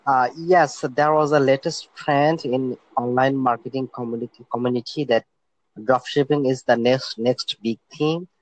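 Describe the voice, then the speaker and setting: A man's voice: mid-range voice, steady tone, normal speed, dry recording, rough recording. Hesitant young man from Bangladesh. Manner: hesitant, many fillers and restarts. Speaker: guest. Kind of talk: casual interview talk.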